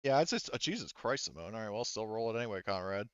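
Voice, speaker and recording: A man's voice: on the low side, animated, quick pace, fairly bright tone, muffled recording, slight background noise. A man acting as game master in a tabletop game, casually narrating and refereeing as he thinks aloud in a hesitant way.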